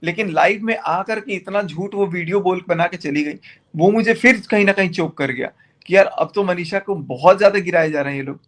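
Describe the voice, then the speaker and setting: A man's voice: sits high, fairly quick, a bit bright. A man talking in an insistent, argumentative way in a heated personal conversation on a recorded group phone call.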